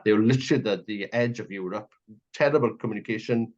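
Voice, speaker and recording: A man's voice: on the low side, fast talker, darkish tone. A man with a Welsh accent hosting a conversational political interview, talking emphatically and at length in piled-up clauses. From Wales. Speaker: radio interview host. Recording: dull, muffled lo-fi audio.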